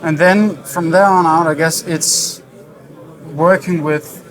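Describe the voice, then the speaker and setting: A man's voice: on the high side, steady tone, takes it slowly. A man hosting a conversational interview on location, putting questions to a guest. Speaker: interview host. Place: busy hi-fi trade show hall. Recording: crowd chatter around the voice. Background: crowd chatter.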